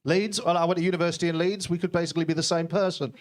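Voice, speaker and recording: Man, young adult, stand-up comedian, self-deprecating, brash, swearing, slightly high voice. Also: steady tone, rapid-fire. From Newcastle, England. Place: basement comedy club.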